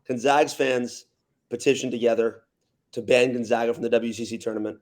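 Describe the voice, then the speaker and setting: A man's voice: mid-range voice, level tone, brisk, a little mellow. Emphatic man chatting casually about sports. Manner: emphatic, repeats questions for effect. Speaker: podcast guest, arena PA announcer.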